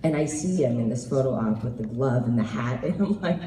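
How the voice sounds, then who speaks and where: A woman in her thirties, slightly hoarse, answering fan questions at a convention panel with laughing, animated storytelling. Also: very deep voice, a little fast, heavy background noise. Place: large convention hall with audience. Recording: roomy hall recording from far off.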